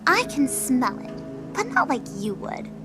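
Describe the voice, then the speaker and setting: Actress as sly young-sounding death goddess, audio drama: highish, very animated, teasing and sure of herself, over music. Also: female voice, measured pace, heavily acted. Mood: knowing, intense. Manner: teasing, casual, self-assured. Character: confident, sly female death goddess who foresees kills. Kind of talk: scripted audio drama acting. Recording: music under the voice.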